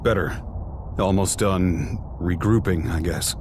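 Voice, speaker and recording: A gruff but easygoing male comrade from a video game. His voice is deep and his phrasing short and informal. There is clear background noise under the speech. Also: slow pace. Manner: laconic, informal.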